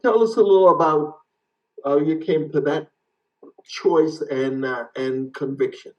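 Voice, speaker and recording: A man's voice: a little high, measured pace, far from the mic, muffled recording. A man hosting an interview, asking questions and answering with short, encouraging affirmations. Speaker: interview host.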